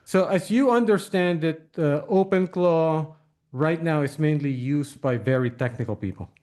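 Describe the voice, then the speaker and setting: Male podcast host, somewhat high-pitched, conversational, inquisitive, repeating questions to check understanding. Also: normal speed, darkish tone. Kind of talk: conversational interview.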